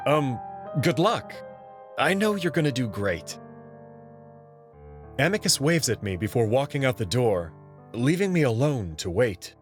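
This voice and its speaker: A man reading a visual novel aloud in the first person, in an expressive, nervous way. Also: medium pitch, a little slow, pauses often. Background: background music.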